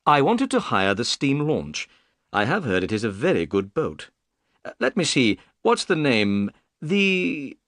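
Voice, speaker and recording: A man's voice: medium pitch, very animated, a little slow, a bit bright, dry recording, studio quality. A man voicing a composed, courteous, shrewd detective in an audiobook story, polite and confident. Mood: polite, inquiring.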